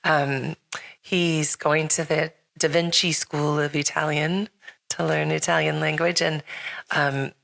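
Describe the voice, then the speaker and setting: Low, slightly raspy chatty woman host. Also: a bit bright. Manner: rambling, many fillers and restarts. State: slightly raspy from recent illness. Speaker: podcast host. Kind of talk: casual chat.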